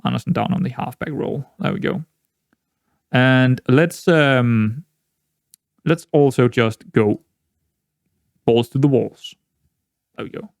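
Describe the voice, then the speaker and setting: A man's voice: medium pitch, slow, vocal fry, pauses often, no room sound. Young danish guy rambling over a football manager game, dry close mic, lots of restarts. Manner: rambling and chatty, with many restarts and sudden excited outbursts. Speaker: gaming YouTuber. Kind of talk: casual commentary while playing a football management game.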